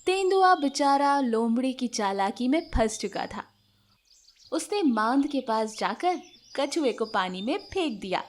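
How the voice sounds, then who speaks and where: Female narrator, higher-pitched, for scripted children's animal fables. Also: big pitch swings, measured pace, slight background noise. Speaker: storyteller.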